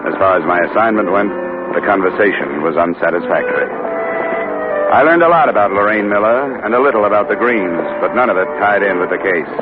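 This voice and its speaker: An actor playing a wry, dogged American investigator who narrates his case in a dry, clipped, deadpan 1950s radio drama style. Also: fairly low pitch, a little fast, natural voice. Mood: wry, matter-of-fact. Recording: tinny old 1950 radio recording with music.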